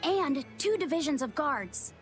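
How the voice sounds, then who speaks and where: A woman's voice: sits high, animated, a little slow. A woman voicing an earnest, polite young boy in a video game, studious and precise. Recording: music behind the voice.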